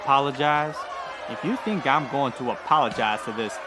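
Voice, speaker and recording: A man's voice: medium pitch, normal speed, a crowd in the background, noisy background, close to an everyday voice. A man playing a greedy, contemptuous Old West villain in a radio western, belligerent and insulting.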